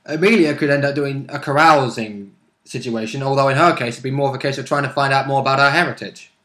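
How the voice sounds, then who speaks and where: A man chatting casually as a player about a tabletop role-playing game, retelling it in halting bursts with quoted lines. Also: mid-range voice, sharp tone. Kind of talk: casual chat. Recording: echoey room sound.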